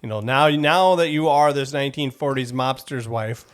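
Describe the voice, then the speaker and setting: A man co-hosting a podcast, bantering casually and jumping in with jokey reactions. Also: medium pitch. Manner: jokey, quick to interject reactions. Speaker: podcast co-host. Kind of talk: casual, bantering interview chat.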